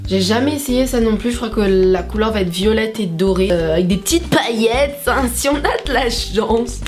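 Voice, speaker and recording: A young woman, chatting casually in a vlog, swearing a lot and tossing in playful bits of mock English, with music behind her. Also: fairly high pitch. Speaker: vlogger. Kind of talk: casual haul vlog chat.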